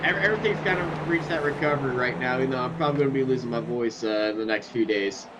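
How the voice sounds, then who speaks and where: A man giving energetic, jokey play-by-play commentary on a sim race. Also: mid-range voice, quick pace. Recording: loud noise behind voice.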